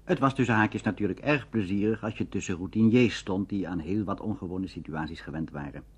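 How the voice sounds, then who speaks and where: A man's voice: sits low, a little fast, slightly rough. A man presenting a documentary as narrator, reading scripted links. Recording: dull lo-fi recording.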